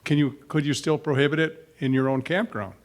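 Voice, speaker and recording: A man's voice: fairly high pitch, steady tone, a little fast. A man in a group discussion in a meeting room, hesitant and thinking aloud.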